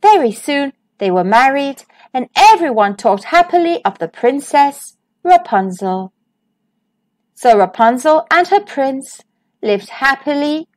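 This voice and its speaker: A woman host reading a children's picture book aloud in a slow, sing-song storytime voice, recorded as a close voice-over. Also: high voice, a little mellow.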